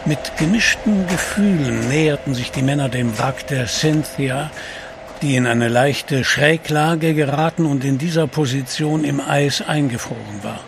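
Gravelly male audio drama narrator. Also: a little high, unhurried. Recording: clear atmospheric background noise.

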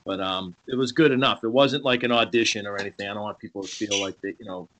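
A man's voice: fairly low pitch, fairly even intonation, fast, a bit bright, slight background noise, rough recording. A man speaking casually and informally as a screenwriter on a group video call. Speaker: screenwriter giving thanks.

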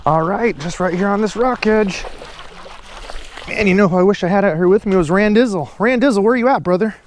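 A man's voice: high voice, a little fast. A young man chatting casually and upbeat while fishing outdoors from a pond bank, filmed on a head-mounted action camera. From Iowa, USA. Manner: chatty, upbeat, folksy sayings. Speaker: fishing vlogger.

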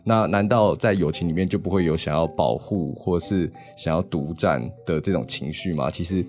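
A man's voice: low-pitched, normal speed, a little mellow, soft background music. A man with a Taiwanese accent, chatting casually as a radio co-host. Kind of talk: casual interview chat.

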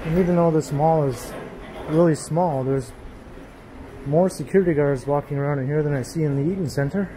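A man giving an unhurried, casual running commentary while walking through an indoor mall, filmed on a handheld camera. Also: a little high, background noise. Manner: relaxed, unhurried commentary with pauses. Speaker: walking tour vlogger. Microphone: handheld gimbal camera.

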